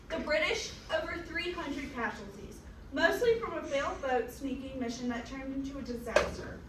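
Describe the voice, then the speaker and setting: A woman narrating a comic scripted history in a theatrical manner with sardonic asides. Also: high voice. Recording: roomy, distant mic, faint noise.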